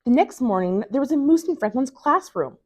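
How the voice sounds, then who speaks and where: A woman reading a children's picture book aloud as a library storytime reader, with expressive storytelling. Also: high voice, a little fast.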